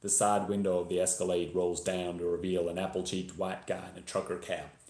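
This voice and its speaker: Man putting on a low, tense Texan thriller narrator. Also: flat delivery, a little fast, darkish tone, close to an everyday voice. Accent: attempted Texan. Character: Texan male narrator of a crime thriller, tense and hard-boiled. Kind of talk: first-person audiobook-style thriller reading. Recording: roomy echo, live video stream.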